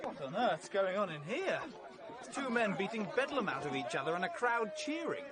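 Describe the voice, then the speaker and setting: An actor playing a nervous, eager young gentleman sidekick in a radio play, polite and easily flustered. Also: high-pitched, very animated, normal speed. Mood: bewildered. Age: young adult. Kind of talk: vintage radio play acting. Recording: radio drama, loud crowd behind.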